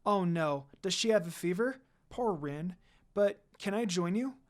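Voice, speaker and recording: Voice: mid-range voice, lively intonation, measured pace, lightly acted. A narrator as an eager young wolf princess. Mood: concerned, eager. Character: friendly young female wolf demon princess, eager helper.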